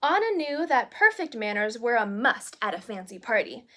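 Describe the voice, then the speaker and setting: A woman's voice: fairly high pitch, very animated, moderate pace, sharp tone. A woman narrating a children's storybook expressively, with little asides to young listeners. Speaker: storyteller reading a children's book aloud in character as a princess.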